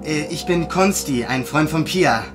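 A man's voice: a little high, lively intonation, measured pace, over music. An actor playing an impulsive, cheeky and nervy young male schemer in a naturalistic audio drama. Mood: flustered, polite.

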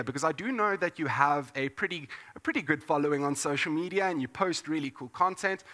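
Upbeat host with a bright voice. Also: male voice, on the high side. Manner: upbeat, filler-heavy, restarts. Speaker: show host. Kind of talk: hosted panel chat.

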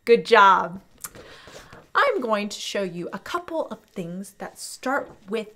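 A young woman hosting a children's storytime, speaking with animated, exaggerated enthusiasm and deliberate enunciation as she teaches. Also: mid-range voice. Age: young adult. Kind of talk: children's educational presentation.

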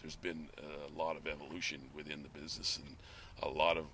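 Very deep-voiced Canadian man, rambling. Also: level tone, brisk, bright, forceful tone. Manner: rambling, many restarts and fillers. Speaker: nursery company president. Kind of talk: casual interview answers. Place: outdoors on a farm, then inside a greenhouse. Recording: noisy outdoor recording.

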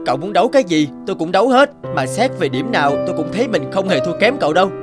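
A man's voice: high-pitched, animated, rapid-fire, over music. A man voicing a confident, teasing teenage boy class monitor in a cartoon drama, articulate and self-assured. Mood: stern, cocky. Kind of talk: animated drama dubbing.

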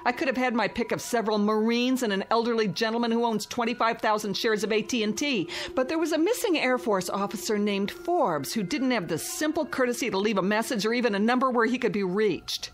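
An actress playing an indignant, sharp-tongued young woman scolding her boyfriend in a radio drama. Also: a little high, brisk, few pauses, lightly acted.

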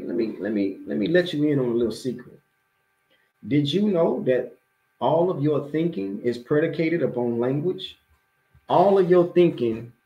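A man's voice: medium pitch, darkish tone. An American man in his forties, an imam, gives a motivational religious talk in a conversational, emphatic way, repeating phrases for emphasis. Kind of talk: motivational religious lecture to camera. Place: small indoor room at home or office. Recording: roomy, echoey webcam audio.

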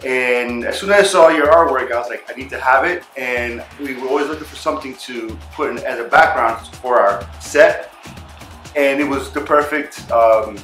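A man's voice: medium pitch, normal speed, fairly bright tone, soft background music. A man hosting an interview show in a casual, conversational way. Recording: roomy, echoing room sound.

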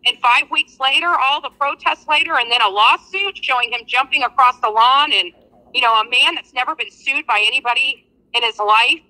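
A woman in a casual livestream discussion on a video call, giving her view haltingly and repeating words. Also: sits high, pushed and bright, poor audio. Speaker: livestream panelist. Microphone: video call replayed from a phone.

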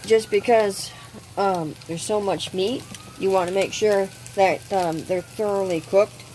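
Woman host, casual cooking demo, unhurried and halting, recorded on a phone by the grill. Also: mid-range voice, fairly even intonation, dark tone, slight background noise, low-quality recording. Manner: unhurried, hesitant with frequent ums. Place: outdoors at a gas grill.

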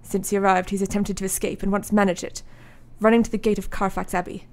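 A woman's voice: medium pitch, little pitch movement, quick pace, close to an everyday voice. A woman playing a firm, businesslike asylum doctor in a radio play. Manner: clinical, businesslike.

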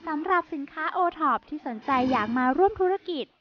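A young woman presents a TV segment in a high, bright voice, polite and chirpy, speaking from inside a supermarket. A clear hiss of background noise sits under her words, with store chatter around her. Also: lively intonation, fairly quick, lo-fi sound. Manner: polite, chirpy, frequent soft polite particles. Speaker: TV show host.

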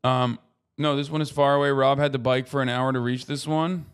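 A man's voice: medium pitch, fairly even intonation, a little fast, smooth. A man in his thirties giving casual livestream commentary into a close microphone. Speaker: livestream host.

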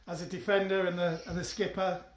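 A man's voice: high voice, level tone, a little fast. A man interviewing a club captain, asking conversational, prompting questions from off camera. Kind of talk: post-match interview questions. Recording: echoey, off-camera and far from mic.

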